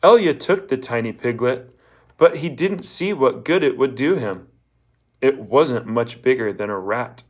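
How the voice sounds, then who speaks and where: A man's voice: mid-range voice, a little slow. A man narrating a children's novel, reading aloud from the text as a storyteller. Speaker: audiobook reader.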